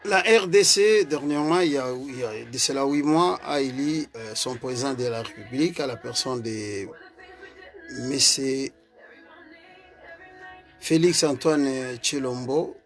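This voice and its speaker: A man's voice: mid-range voice, unhurried, faint music behind. A man with a Congolese French accent gives an earnest political commentary as a party vice-president, hesitating and repeating words as he goes. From Congo (DRC).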